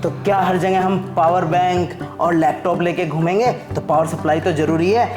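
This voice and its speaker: A man's voice: high voice, steady tone, normal speed. A man hosting a casual vlog, chatting informally and explaining things as he works on a hands-on project. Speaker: vlog host and father. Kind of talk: casual chat while doing a DIY project. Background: clear background music.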